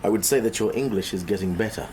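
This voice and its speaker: A man's voice: on the low side, fast talker, very noisy, close to an everyday voice. An actor playing a reserved engineer and father searching for his son in a radio drama, speaking in a terse, formal, guarded way. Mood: indignant.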